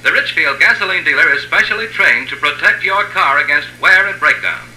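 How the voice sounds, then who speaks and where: A man's voice: on the high side, fairly quick, pushed and bright. An American man announcing on 1950s radio in a polished, emphatic scripted style. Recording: old 1950s radio, echoey, hissy hum.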